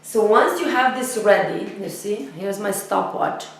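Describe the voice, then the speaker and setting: A woman with a scratchy voice gives a blunt, energetic fitness lesson straight to her viewers. Her voice is caught from a distance, with a strong echo from the room around her. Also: mid-range voice, a little slow. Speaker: nutrition and fitness educator. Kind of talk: direct-to-camera health lesson. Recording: very echoey room, voice from off-mic.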